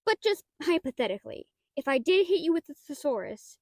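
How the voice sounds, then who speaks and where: A woman's voice: high-pitched, big pitch swings, fairly quick, darkish tone, dry recording. A woman playing a shy, nerdy, rule-bound half-dragon librarian, flustered and rambling with dry wit in an audio roleplay. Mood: awkward, scheming. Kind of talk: scripted audio roleplay addressed to the listener.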